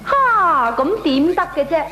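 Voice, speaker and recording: An actress playing an irritable, outspoken neighbour in a radio comedy drama, exclaiming and complaining in colloquial Hong Kong Cantonese. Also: female voice, extremely high pitch, sing-song, unhurried, pushed and bright, roomy sound, soft background music, heavily acted. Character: irritable, outspoken female neighbour fed up with barking.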